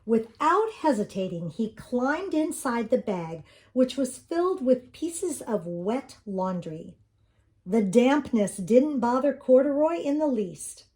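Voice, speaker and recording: A woman's voice: a little high, sing-song, takes it slowly, darkish tone. A woman narrating a children's storybook as she reads it aloud. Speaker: storybook reader.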